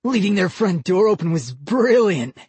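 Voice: mid-range voice, big pitch swings, moderate pace, a little mellow, breathy edge, no echo, pitched above the performer's own voice. A narrator voicing a cocky, mocking prankster boy in an audiobook.

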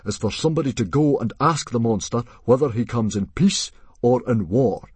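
A man voicing a gruff, self-important Viking chief who speaks in a loud, commanding way. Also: lively intonation, moderate pace, dry recording. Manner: booming, commanding.

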